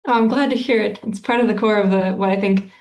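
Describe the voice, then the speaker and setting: A woman's voice: medium pitch, steady tone, quick pace, darkish tone, reverberant. A woman author answering interview questions in a casual, thoughtful way, with lots of fillers and restarts.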